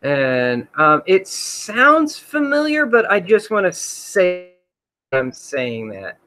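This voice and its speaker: Guy hosting a chill livestream, rambly, reads the chat out loud, slightly high voice. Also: big pitch swings, slow. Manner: rambling, many fillers, reads chat comments aloud. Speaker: host.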